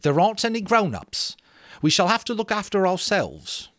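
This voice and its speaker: A man's voice: sits high, sing-song, normal speed, a bit bright, no echo, clean studio sound, voice pushed up. A man reading a novel aloud, playing an earnest boy who leads a meeting with emphatic, declarative speech. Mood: earnest, assertive. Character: earnest fair-haired boy chairing a meeting as leader. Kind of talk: amateur dramatic reading of a novel.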